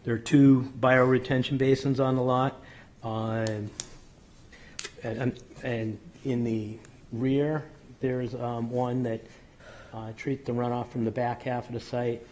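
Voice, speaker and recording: A man presenting a subdivision plan at a public board meeting, methodical and technical with frequent ums and self-corrections, his voice slightly hoarse. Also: medium pitch, level tone, slow, darkish tone, slight background noise. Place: city council chamber.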